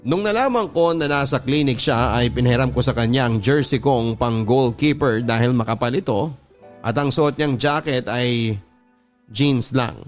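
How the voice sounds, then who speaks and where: A man's voice: mid-range voice, moderate pace, faint music behind. A man with a Filipino accent reading a first-person story as a radio drama narrator, in a sentimental manner.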